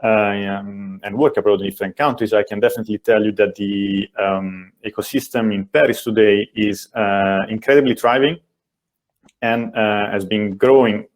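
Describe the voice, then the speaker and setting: A man with an Italian accent, a venture investor answering as a panelist in a webinar in a measured, thoughtful way. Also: lowish voice, fairly even intonation. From Italy.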